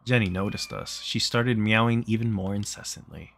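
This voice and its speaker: A man's voice: fairly low pitch, steady tone, normal speed, a bit bright. A man reading a game's story aloud in a plain, conversational way, mixed with casual chat. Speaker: streamer reading a visual novel aloud.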